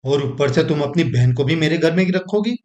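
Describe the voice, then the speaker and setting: A selfish, scheming young husband from a cartoon moral tale, acted by a man. His voice is on the higher side, and he talks in a dismissive, pushy way. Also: lively intonation, a little fast, a little mellow, close to an everyday voice. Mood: annoyed. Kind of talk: animated moral story dialogue.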